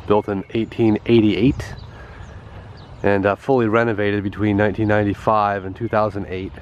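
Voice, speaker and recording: A man with a stuffed-up, congested voice, casually vlogging outdoors at a rural schoolhouse and talking in halting bits full of pauses and fillers. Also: fairly low pitch, little pitch movement, muffled recording, a little hiss or hum. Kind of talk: casual walk-around vlog narration.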